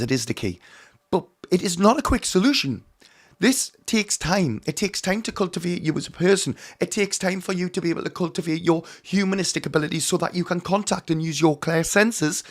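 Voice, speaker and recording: Man, hoarse, somewhat high voice, earnest, emphatic, teaching to camera. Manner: earnest and emphatic, addresses viewers as friends. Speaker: mediumship teacher. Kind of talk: direct-to-camera teaching talk.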